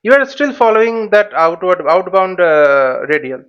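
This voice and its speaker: A man's voice: high-pitched, sing-song, normal speed, smooth, highs cut off. A man giving an unscripted step-by-step tutorial, speaking hesitantly, repeating himself and checking that the listener follows. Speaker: flight simulator tutorial instructor.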